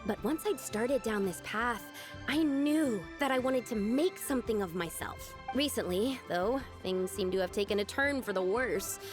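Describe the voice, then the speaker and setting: An earnest young woman scholar from a video game voiced by an actress, candid and determined. Her bright-hearted, explanatory delivery sits fairly high and is lively in pitch, with music behind it. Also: normal speed, close to an everyday voice. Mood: sheepish, downcast.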